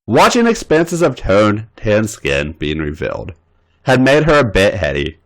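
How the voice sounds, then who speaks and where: A man's voice: fairly low pitch, measured pace, heavy background noise. Theatrical man reading stories aloud. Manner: playful, theatrical reading with lively swings. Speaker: podcast host reading fan fiction. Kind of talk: dramatic reading of erotic fan fiction.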